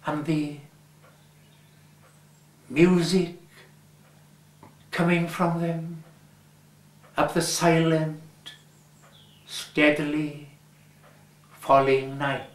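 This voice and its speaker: A man with a Welsh accent reading a story aloud, measured and lingering on his words. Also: on the high side, little pitch movement. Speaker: reader. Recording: roomy echo.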